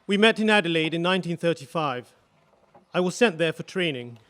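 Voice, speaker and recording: Actor as a high-voiced, solemn male witness. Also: expressive, fairly bright tone. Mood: solemn, composed. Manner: solemn, deliberate, precise. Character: grave, earnest male electrical engineer, widowed war witness. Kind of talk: dramatic courtroom testimony.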